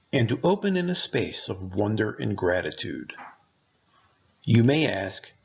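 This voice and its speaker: Male meditation narrator, mid-pitched and creaky, gentle deliberate delivery, slightly dull recorded sound. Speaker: meditation guide.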